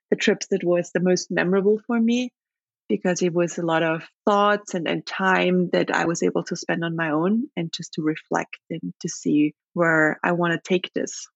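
A woman's voice: fairly low pitch, vocal fry, husky, no echo, lo-fi sound. A woman in her thirties from Austria with an Austrian accent, chatting thoughtfully as a podcast guest with many restarts and fillers. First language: German. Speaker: podcast guest, travel blogger. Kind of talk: casual interview chat.

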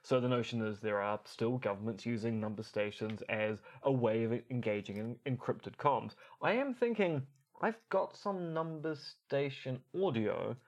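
A man's voice: medium pitch, animated, moderate pace, hardly any pauses. Wry, articulate man explaining as podcast co-host. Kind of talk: conversational explanatory discussion.

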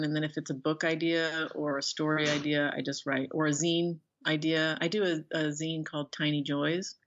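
A woman speaking casually as a guest author in an interview, thinking aloud in a rambling, self-effacing way. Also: deep voice. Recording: dull lo-fi call audio.

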